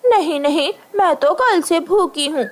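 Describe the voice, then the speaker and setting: A woman voicing a sharp-tongued female crow wife in a cartoon story, nagging and mocking. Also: extremely high pitch, lively intonation, unhurried, voice pushed up. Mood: complaining. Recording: noisy ambient background.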